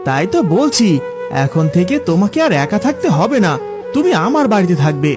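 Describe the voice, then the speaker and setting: A man's voice: high voice, very animated, brisk, music in the background. A man playing a self-serving grown son in a cartoon story, coaxing and pleading with his mother. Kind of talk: Bengali cartoon moral-story acting.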